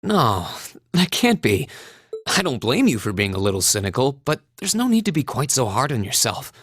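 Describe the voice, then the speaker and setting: An actor playing an earnest, kind young student in a video game, talking in a casual, considerate way. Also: male voice, medium pitch, big pitch swings, moderate pace, fairly bright tone, husky. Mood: sympathetic, gentle. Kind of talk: English-language game voice acting.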